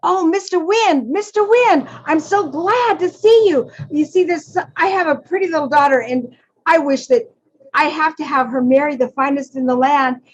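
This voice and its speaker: A woman's voice: high voice, normal speed, highs cut off, pitched above the performer's own voice, natural voice. A woman voicing an earnest, hopeful father mouse in a storybook tale, speaking with effusive, pleading politeness. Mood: eager, pleading. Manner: effusive, pleading, polite address with repeated names. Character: earnest, hopeful old-fashioned father mouse, proud of his daughter.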